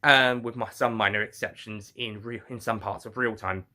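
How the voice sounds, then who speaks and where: A man giving a casual, unscripted review, speaking in a halting, rambling way and often repeating or correcting himself. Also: lowish voice, steady tone, sharp tone.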